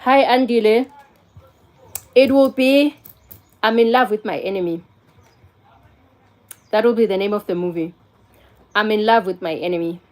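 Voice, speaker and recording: South african woman, 40s, just chatting on her live stream, mixing english and zulu, normal mid pitch. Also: normal speed, pauses often. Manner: chatty, answers viewers and phone calls, switches between English and Zulu. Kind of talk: casual live-stream chat.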